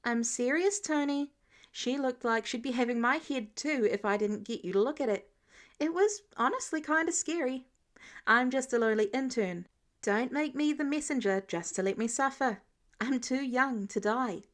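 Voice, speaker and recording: A woman's voice: high-pitched, moderate pace, lightly acted. A woman voicing an earnest, cheeky, slightly awkward teenage boy intern in an audiobook-style story reading. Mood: earnest pleading.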